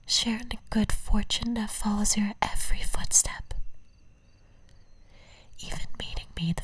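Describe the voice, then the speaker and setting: A woman playing an obsessive, lovesick stalker in an ASMR roleplay, whispering breathily in slow, deliberate, coaxing phrases. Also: on the low side, husky, no echo, full character voice.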